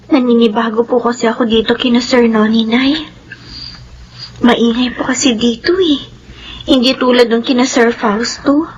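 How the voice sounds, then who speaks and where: A woman's voice: fairly high pitch, steady tone, normal speed, long pauses, lo-fi sound, slight background noise, natural voice. A woman acting as a caring, respectful young student, a nanny's daughter, in a Filipino radio drama. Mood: uneasy. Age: young adult. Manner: polite, deferential.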